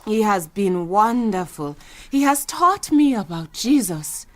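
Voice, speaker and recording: A woman's voice: mid-range voice, big pitch swings, slow. An actress playing a humble, devout widowed village mother in an audio drama, speaking with earnest gratitude. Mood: grateful, devout.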